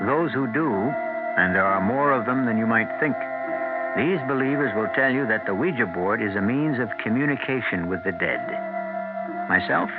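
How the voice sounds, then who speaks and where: A man hosting a mystery radio series, narrating scripted suspense in a dramatic, deliberate, theatrical manner. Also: mid-range voice, lively intonation, over loud music. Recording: narrow old radio broadcast.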